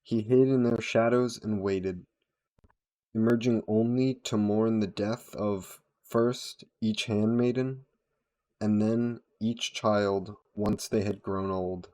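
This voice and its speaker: A male narrator tells a dark fantasy legend in a low voice. He takes it very slowly, with a solemn, dramatic and measured delivery. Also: fairly even intonation. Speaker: storyteller, narrator.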